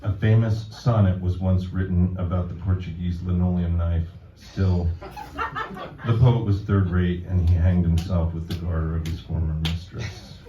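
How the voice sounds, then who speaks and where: A man with a very low voice reads poetry at a live event. His delivery is deadpan and measured, and the sound is echoey, picked up from well back in the room. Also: noisy background. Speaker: poet reading own work. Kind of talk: live poetry reading with informal introduction. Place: live reading event before an audience. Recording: dull, roomy, distant event recording.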